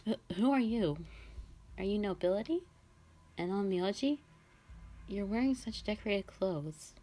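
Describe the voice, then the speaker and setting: A woman's voice: mid-range voice, expressive, measured pace, warm tone, pitched above the performer's own voice. A woman voicing a worried, hesitant young mother from a village in a scripted audio drama. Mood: alarmed, confused. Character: anxious, frightened village wife and mother.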